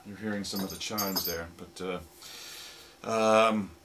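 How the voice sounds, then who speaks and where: A man who produces radio drama, demonstrating sound effect gadgets in a relaxed, explanatory way with plenty of fillers. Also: low voice, a little slow, very noisy.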